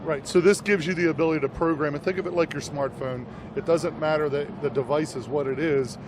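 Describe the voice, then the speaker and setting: A man's voice: fairly high pitch, steady tone, a little fast. A middle-aged man giving explanatory product talk as a company representative, into an interviewer's handheld microphone. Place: large busy trade show hall, indoors. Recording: noisy exhibition hall behind voice.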